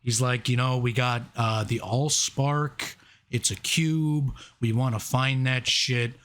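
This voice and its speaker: A man's voice: mid-range voice, steady tone, slow, a bit bright. A man co-hosting a casual movie review podcast, rambling and laughing often, swearing casually. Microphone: own camera setup.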